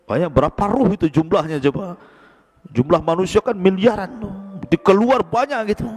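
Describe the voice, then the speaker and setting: High-voiced preacher man in an echoey hall. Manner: animated, swings between high emphatic lines and low slow Arabic recitation. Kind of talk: sermon to a congregation.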